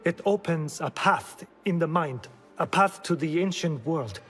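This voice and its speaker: An actor playing a shady, self-justifying informant in a video game, smooth-talking and evasive. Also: male voice, fairly high pitch, lively intonation, unhurried, a bit bright. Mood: evasive, earnest. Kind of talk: dramatic game cutscene acting.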